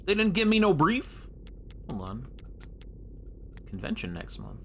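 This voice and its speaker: A man's voice: a little high, wildly swooping pitch, a little slow, slight background noise. A man giving casual playthrough commentary as a game streamer, playfully musing aloud as he goes. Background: game music.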